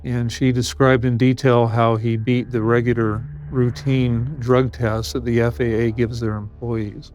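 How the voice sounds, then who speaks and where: An American man speaking as a detective in an interview, recounting a case in measured, formal phrasing. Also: mid-range voice, little pitch movement, darkish tone, soft background music.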